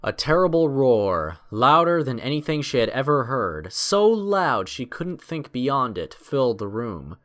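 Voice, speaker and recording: A man's voice: medium pitch, big pitch swings, measured pace, few pauses, fairly bright tone. A man narrating a scripted adventure story in a dramatic storytelling style.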